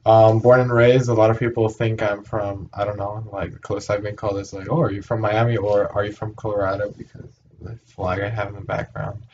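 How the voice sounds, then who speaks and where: A young man from Puerto Rico with a low voice hosts a casual chat, hesitant and full of fillers. The sound is dull and lo-fi, with a faint hiss underneath. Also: level tone, a little fast, hardly any pauses, darkish tone. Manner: hesitant, many fillers and restarts. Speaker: host.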